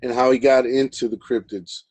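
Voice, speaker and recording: A man's voice: mid-range voice, steady tone, moderate pace, a little mellow, no room sound, faint music behind, rough recording. A man hosting a live stream in a casual, rambling way, full of fillers and repeated words. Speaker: host.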